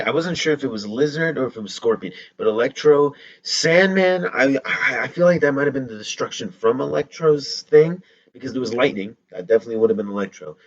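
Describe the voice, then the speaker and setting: Hoarse young man reacting excitedly. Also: medium pitch, muffled recording. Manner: excitable, rapid exclamations. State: hoarse from yelling. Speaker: trailer reaction host. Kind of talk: casual reaction commentary.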